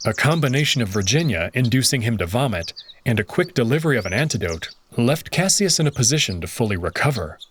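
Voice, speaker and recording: A man narrating a visual novel in first person with an expressive, conversational delivery. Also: mid-range voice, fast, hardly any pauses, a little hiss or hum.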